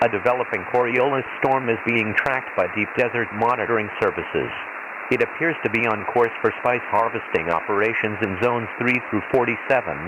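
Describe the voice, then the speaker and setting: Hissy radio man acting as an impassive low-voiced announcer. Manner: formal, measured, impersonal. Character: impassive male weather radio announcer on a desert planet. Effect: radio transmission filter. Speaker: weather broadcast announcer. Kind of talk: scripted emergency weather radio bulletin. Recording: hissy, noisy shortwave-style radio broadcast.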